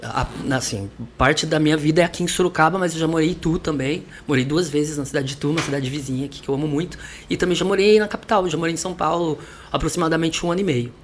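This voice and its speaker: Chatty male podcast guest, fairly high voice. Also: fast, few pauses, slight background noise. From Salvador, Brazil. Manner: chatty, reflective storytelling with light laughs. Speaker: musician and vocal coach guest. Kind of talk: podcast interview.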